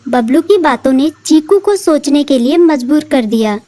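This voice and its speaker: Mellow high-voiced woman narrating. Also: lively intonation, normal speed. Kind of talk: scripted storybook narration.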